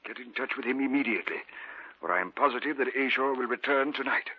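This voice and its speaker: An actor playing a grave, urgent uncle in an old radio drama, speaking with a husky voice. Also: male voice, medium pitch, fast talker, heavy background noise, close to an everyday voice. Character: grave older uncle Harold, ominous and urgent. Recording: dull old radio recording.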